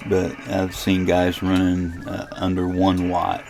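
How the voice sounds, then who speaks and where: A man giving an informal, unhurried screen demonstration, thinking aloud as he goes, recorded inside a camper. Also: deep voice, monotone, faint music behind. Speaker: ham radio demonstrator and channel host. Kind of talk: casual screen-demo narration. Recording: clear background electrical and radio noise. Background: radio interference noise.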